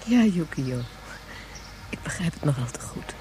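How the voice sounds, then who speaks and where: A woman's voice: sits low, expressive, measured pace, a little hiss or hum. An actress playing a weary, devoted woman of great age in a science fiction radio play, speaking in a knowing, consoling manner. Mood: tender, sorrowful. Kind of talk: Dutch science fiction radio drama acting.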